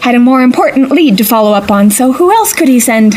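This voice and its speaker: A woman's voice: on the high side, lively intonation, brisk, darkish tone, a little hiss or hum, natural voice. An actress playing a wry, confident woman investigator who talks to herself in an audio drama. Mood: wry, musing. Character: wry, confident, self-reliant female amateur investigator. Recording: roomy, long reverb, music behind.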